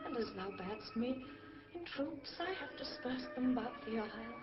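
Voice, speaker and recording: A very high, airy spirit voice acted by a woman, eager and exultant, serving a master. The verse is declaimed in full theatrical audio-drama style. The recording is slightly muffled, with music behind it. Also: animated, moderate pace, lightly acted. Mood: hushed, satisfied.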